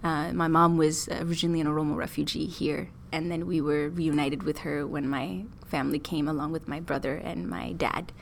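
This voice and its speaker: A young woman student from Ethiopia, talking about her studies and experiences thoughtfully, with fillers and pauses. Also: deep voice, flat delivery, vocal fry, a little hiss or hum. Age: young adult. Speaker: student interviewee. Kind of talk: casual interview.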